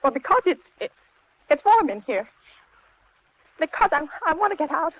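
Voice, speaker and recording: A woman playing a frightened, placating older housewife in an old-time radio thriller, with an anxious, halting, dramatic delivery. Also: high voice, sing-song. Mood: desperate pleading. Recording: muffled, hissy vintage radio recording.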